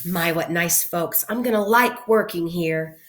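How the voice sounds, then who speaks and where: A woman's voice: fairly low pitch, unhurried, reverberant, pitched below the performer's own voice, lightly acted. A woman voicing a cheerful, literal-minded housekeeper from a children's storybook, chatting folksily and musing aloud. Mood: pleased.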